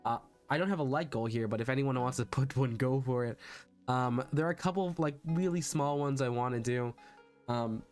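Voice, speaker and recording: A man chatting casually on a livestream, halting and self-conscious, often repeating his words. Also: medium pitch, a little mellow. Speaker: VTuber streamer practicing voice acting. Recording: background music under the voice.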